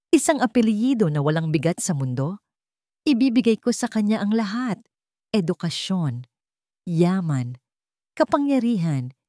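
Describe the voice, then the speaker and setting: Casting: cold rich businessman, read by a woman, curt and commanding, controlled delivery, soft-edged tone, radio romance. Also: on the low side, no room sound, studio quality.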